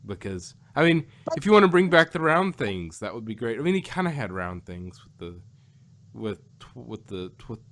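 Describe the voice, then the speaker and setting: A man chatting casually as a podcast host, rambling and halting, often repeating himself as he gives his opinions. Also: mid-range voice, sing-song, fairly bright tone. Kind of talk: casual opinionated fan chat.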